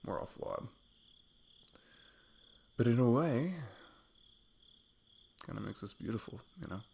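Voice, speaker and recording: A man playing an affectionate, goofy best friend on a date in an intimate ASMR roleplay, rambling playfully in a husky, gravelly voice. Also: mid-range voice, animated, takes it slowly, a little mellow, a little hiss or hum. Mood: playful, casual. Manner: rambling, playful, self-deprecating chuckles. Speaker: audio roleplay performer. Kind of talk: intimate ASMR roleplay, improvised casual chat.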